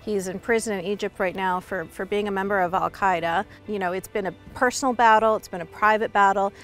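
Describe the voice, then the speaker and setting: A woman's voice: medium pitch, steady tone, a little fast, a bit bright, soft background music. A woman giving personal testimony as an activist in an interview.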